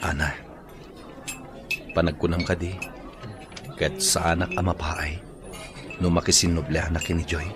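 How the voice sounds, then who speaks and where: A man's voice: deep voice, level tone, measured pace, pauses often, over music. An actor playing an earnest grown son, a young businessman devoted to his mother, in a radio drama. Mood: wistful.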